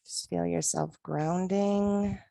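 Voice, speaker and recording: Low calm woman hosting. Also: takes it slowly, vocal fry, no room sound, studio quality. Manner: soft, calm guiding. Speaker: host of a group call. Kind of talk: guided meditation and hosting. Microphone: video call.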